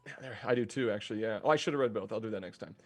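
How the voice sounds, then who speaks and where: A man's voice: on the low side, very fast. A man hosting a casual group playthrough of a game, who chats freely and laughs often.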